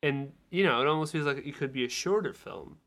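A man on a podcast panel giving casual, analytical film criticism in a measured way. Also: fairly high pitch, expressive, vocal fry, a bit bright.

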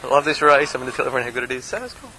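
A man gives a casual race recap in a bright, forward voice of middling pitch. Faint background noise sits under his informal, podcast-style talk. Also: very animated, racing, low-quality recording. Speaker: podcast host and amateur triathlete.

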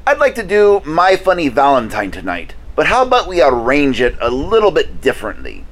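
Theatrical horror-host doctor played by a man, animated pitch, announcer-like comedy delivery. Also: fairly quick, heavily acted. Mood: joking. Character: theatrical mad-doctor horror movie host from another universe.

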